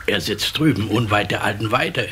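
An actor playing a grumpy, know-it-all old carp who scolds and blubbers theatrically in a children's radio play. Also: male voice, on the low side, normal speed, bright, forceful tone, slightly rough, exaggerated voice. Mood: sulky. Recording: slightly noisy old cassette transfer.

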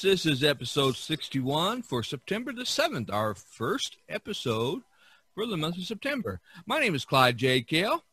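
A man hosting a casual podcast, talking in a halting way with broken phrases. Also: fairly high pitch, sharp tone, slightly rough, dry recording. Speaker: podcast host.

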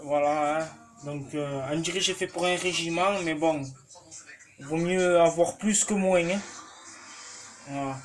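A fairly high-voiced man in his thirties with a Marseille accent chats to his phone in a small home kitchen. He rambles, restarts his sentences and fills gaps with hesitations. The phone is held at arm's length. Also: unhurried, pauses often. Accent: Marseille French. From Marseille, France. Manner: rambling, chatty, many fillers and restarts. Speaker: cooking vlogger. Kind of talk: casual chat to camera. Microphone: phone camera held at arm's length.

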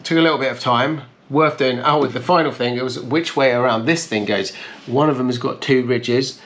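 A man's voice: medium pitch, brisk, fairly bright tone, a little hiss or hum. A man in his thirties hosting a casual restoration vlog, explaining things step by step in a hands-on way. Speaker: host of a car restoration channel. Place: bright plant-filled conservatory at a table.

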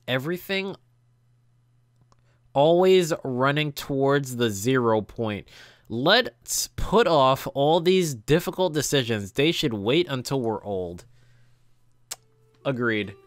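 A man's voice: medium pitch, a bit bright, dry recording, clean studio sound. A young adult man reading visual novel text aloud as a gaming host, stumbling now and then and adding casual reactions. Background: game music.

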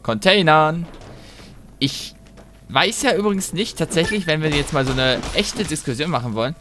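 A man giving casual let's play commentary, thinking aloud in a rambling, halting way and breaking off mid-thought. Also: on the high side, bright, forceful tone, a little hiss or hum.

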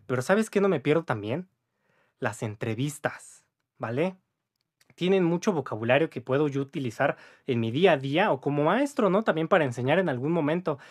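Young mexican guy teaching english on camera, kinda high voice, chatty and upbeat, keeps going "va?". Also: expressive, a little fast. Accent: Mexican Spanish. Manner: chatty, upbeat, frequent "¿va?" and "¿vale?" tag questions. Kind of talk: casual video lesson. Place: indoor room with a patterned wall.

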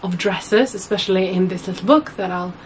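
A young woman with a husky voice, hoarse from a cold, chatting to camera as a sewing vlogger in a small room at home, rambling and correcting herself often. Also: mid-range voice, fairly quick, slight background noise. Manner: chatty, rambling, many fillers and self-corrections. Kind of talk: casual chat to camera.

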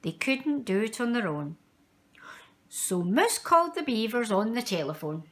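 A woman reading a children's picture book aloud in an expressive, measured storytelling style with dramatic emphasis for young listeners. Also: on the high side.

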